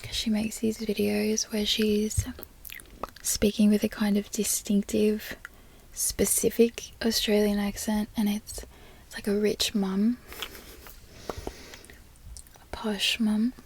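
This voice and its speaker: Whispering young woman, soft and gravelly. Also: medium pitch, completely flat delivery, takes it slowly, long pauses, a little hiss or hum. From Florida. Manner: soft whispering, rambling and chatty. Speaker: ASMR creator. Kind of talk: whispered ASMR chat.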